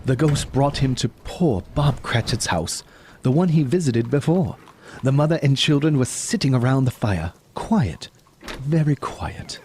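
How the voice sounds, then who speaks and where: A man narrating a scripted dramatic tale as storyteller, solemn and measured. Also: medium pitch, lively intonation, a little mellow, a little hiss or hum. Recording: music behind the voice.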